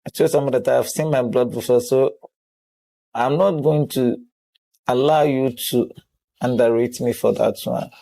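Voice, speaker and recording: Ghanaian woman guest, middle-aged, halting, mellow, nonstandard grammar. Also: extremely low pitch, vocal fry, low-quality recording. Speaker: interview guest.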